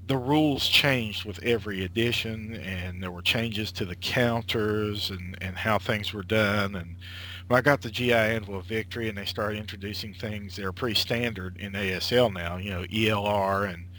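A man's voice: on the low side, sharp tone, rough recording. A man in his late thirties, a guest chatting casually over an internet call, rambling with lots of filler words and restarts. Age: late 30s. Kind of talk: casual conversation.

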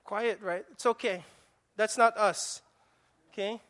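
A man's voice: high-pitched, fairly even intonation, slow pace, fairly bright tone. A man with a Filipino accent preaching a sermon, emphatic, often repeating and restarting his words. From Philippines. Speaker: pastor.

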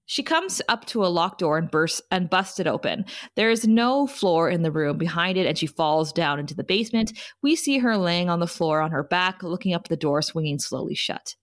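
A woman's voice: fairly low pitch, expressive, moderate pace, hardly any pauses. Woman narrating a script's stage directions. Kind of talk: scripted narration at a table read.

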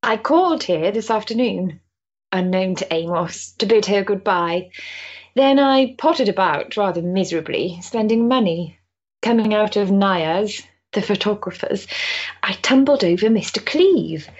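A woman's voice: mid-range voice, animated, measured pace, breathy edge, lo-fi sound. An actress playing a sensible, kindly married Victorian woman in a period stage drama, polite and matter-of-fact. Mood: polite, confiding.

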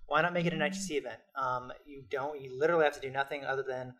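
Fast-talking excitable man. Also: medium pitch. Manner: fast, excitable, many fillers. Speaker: podcast co-host. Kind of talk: casual chat about tabletop gaming.

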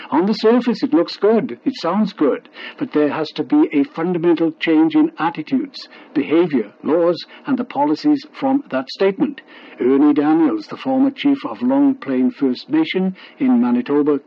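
A man's voice: mid-range voice, slightly rough. A man reading scripted news reports as a radio newsreader. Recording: dull lo-fi shortwave radio broadcast.

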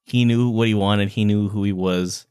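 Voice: low-pitched, fairly even intonation, normal speed, darkish tone, clean tone, dry recording, studio quality. A podcast co-host talking casually and playfully in an informal book discussion.

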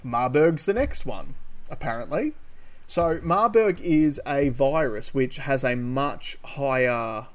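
A man's voice: medium pitch, lively intonation, slow pace. Sardonic Australian man chatting. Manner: sardonic, swears casually. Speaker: podcast co-host. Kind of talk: casual opinionated chat about news.

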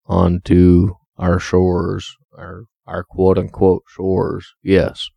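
Man, podcast co-host, deep dark gravelly voice, slow pace, dry close mic. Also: monotone, dry recording. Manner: slow, halting, profane, many fillers. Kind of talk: casual podcast chat.

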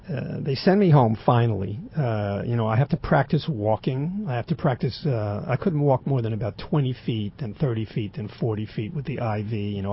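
Raspy older man with gravelly voice. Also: medium pitch, highs cut off. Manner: dry, wry humor with many fillers. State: throat occasionally closing up. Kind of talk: rambling personal account.

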